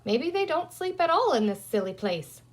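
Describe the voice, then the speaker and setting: A woman's voice: sits high, very animated, normal speed, pitched above the performer's own voice. A woman voicing a bossy, resourceful young girl in a children's story, talking emphatically as she reasons aloud. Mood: impatient. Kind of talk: children's storybook character reading.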